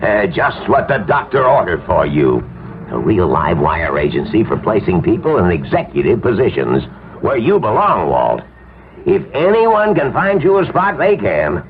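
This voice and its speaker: Breezy, slippery businessman, low-pitched, hems and haws, 1970s radio play with tinny, faintly noisy sound. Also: huge pitch swings, moderate pace. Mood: evasive, hurried. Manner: breezy, slangy, hemming and hawing. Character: evasive, falsely hearty male business executive brushing off an old friend. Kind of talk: 1970s radio drama acting.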